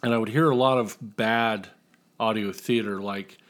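A man's voice: fairly low pitch, steady tone, normal speed. A man talking casually and jokingly as a writer being interviewed on a podcast.

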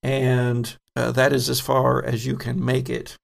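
Male game master, creaky mid-pitched voice, descriptive improvised horror narration at the table. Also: monotone, a little slow.

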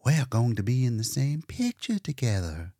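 A man's voice: mid-range voice, very animated, moderate pace, mellow, no room sound, studio quality, pitched above the performer's own voice. A man voicing a demure, humble, earnest woman in a storybook reading, shy and folksy in manner. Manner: shy, plain-spoken, folksy.

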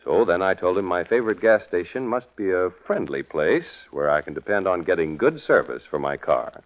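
An American man announcing in 1940s radio style, narrating and pitching in a folksy, conversational scripted way. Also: expressive, normal speed. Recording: muffled vintage radio recording.

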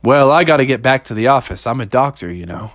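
A woman's voice: extremely low pitch, animated, fast, no echo, lo-fi sound. A woman voicing a breezy, cocky male ghost-hunting doctor with a casual, confident delivery in a children's story.

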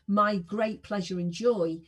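Sixties woman, husky voice, warmly enthusiastic guest who often restarts her sentences. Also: medium pitch, fairly even intonation, slow. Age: 60s. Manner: enthusiastic, self-deprecating, frequent restarts. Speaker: podcast guest, singer. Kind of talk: casual interview chat.

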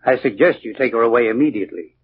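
A man playing a grave, firm doctor, measured and formal, in a 1940s radio drama. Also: medium pitch, animated, close to an everyday voice. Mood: grave, advising. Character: grave, firm physician advising a husband. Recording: muffled, faintly noisy old radio recording.

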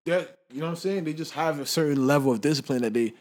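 A man's voice: fairly high pitch, fast talker, a little mellow. A man in casual podcast chat, slangy and rambling, who laughs often. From Canada.

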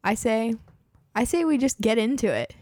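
A woman's voice: on the high side, lively intonation, a little fast, a little mellow, dry recording. Young woman podcast host, kinda losing her voice, says like a lot, dry close sound. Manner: chatty, peppers speech with "like". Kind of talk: casual true-crime podcast chat.

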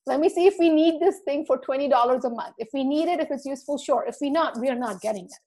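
Earnest woman podcast guest, high voice. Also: quick pace, mellow. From India, raised in the U.S. Virgin Islands. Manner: earnest, speaks from the heart, acts out quoted dialogue. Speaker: podcast guest, dental marketing coach. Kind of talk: casual podcast conversation.

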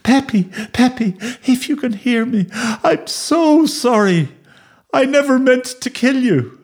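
A man's voice: very high-pitched, expressive, a little slow, voice pushed up, heavily acted. A man voicing a distraught, guilt-stricken young boy in a melodramatic, wailing way for a comic story. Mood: anguished, pleading.

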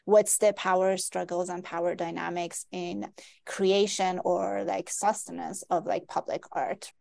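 A woman whose first language is Persian talks about her work as a guest author. Her voice sits low and carries a gravelly fry. She keeps checking in with "right?" and fills her pauses with "like" as she reasons through ideas. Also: little pitch movement, dark tone. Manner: reflective, frequent "like" and "right?" fillers. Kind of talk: academic conversation.

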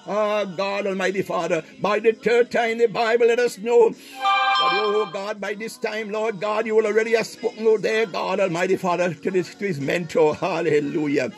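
High-voiced man in fervent prayer over music. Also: fairly even intonation, normal speed. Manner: impassioned, punctuated with repeated exclamations of praise. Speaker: preacher leading a morning prayer.

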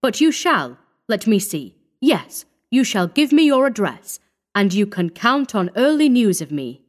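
A woman's voice: mid-range voice, very animated, measured pace. Lady narrator doing a posh-mannered old doctor man in a story, flustered, evasive, kinda condescending n placating. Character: dignified, evasive, wealthy elderly male London physician.

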